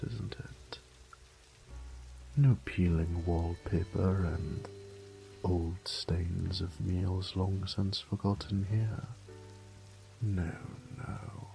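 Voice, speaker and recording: A man's voice: deep voice, vocal fry, mellow, heavily acted, poor audio. A man playing an ancient, haughty, predatory vampire lord in an audio drama, with a pompous, condescending, theatrical drawl and whispered asides. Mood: smug, seductive. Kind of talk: scripted roleplay audio drama.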